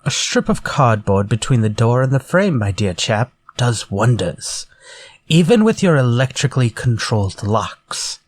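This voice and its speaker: An actor playing a menacing, politely theatrical murderer in a retro-style radio drama. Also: male voice, mid-range voice, big pitch swings. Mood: coolly menacing. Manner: courtly, measured, theatrical. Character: menacing murderer from a story, politely coaxing then vengeful.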